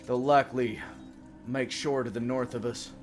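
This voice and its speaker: A man voicing gruff, agitated orc villagers in a tabletop game, speaking bluntly with halting, swearing urgency. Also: mid-range voice, steady tone, soft background music, close to an everyday voice. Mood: gruff, urgent. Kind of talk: in-character tabletop game voices.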